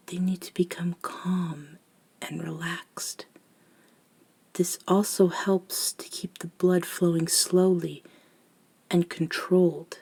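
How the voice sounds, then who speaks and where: A woman voicing a gentle elder vampire in an ASMR roleplay, speaking softly and breathily, often near a whisper, soothing and reassuring. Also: on the low side, creaky, heavily acted. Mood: hushed, calming. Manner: slow, soothing, reassuring, with long pauses. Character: gentle elder female vampire mentoring a nervous young one. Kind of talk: soft-spoken ASMR roleplay monologue.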